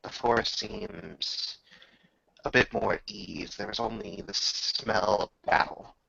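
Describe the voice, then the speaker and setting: A man acting as game master, telling a tabletop roleplaying story in a measured, grandiose manner with drawn-out pauses. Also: lowish voice, steady tone, gravelly, sharp tone. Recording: slightly dull, roomy home recording.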